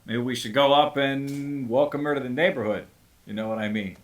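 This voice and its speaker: A man's voice: medium pitch, fairly quick, lightly acted. A man playing a leering, boisterous thirty-year-old suburban neighbor in a screenplay table read, with a jokey, exclamatory delivery. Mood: eager, cajoling.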